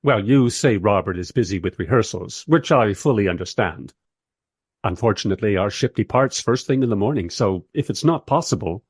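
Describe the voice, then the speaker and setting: An actor playing a wry, polite traveller who narrates and talks in a radio play, with a husky voice. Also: male voice, fairly low pitch, expressive, normal speed. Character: wry male traveller narrating his awkward visit. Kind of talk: first-person radio play narration and dialogue.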